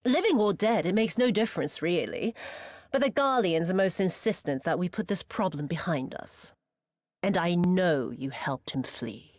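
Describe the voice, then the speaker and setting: An actress playing a cruel, vengeful woman in a video game, mocking and imperious, with a theatrical, drawn-out delivery that sinks into breathy whispers. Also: lowish voice, lively intonation, a little mellow, no echo, clean studio sound, natural voice. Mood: silky, threatening. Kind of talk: dramatic video game cutscene acting.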